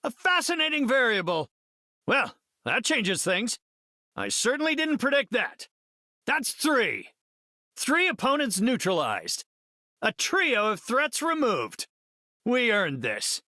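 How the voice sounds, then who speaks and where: An actor playing a brainy, confident scientist hero in a video game, speaking in an articulate, measured and slightly formal way. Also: male voice, very high-pitched, sing-song, pushed and bright, no echo, clean studio sound, full character voice. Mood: determined, confident. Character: brainy, confident, earnest male scientist superhero leader.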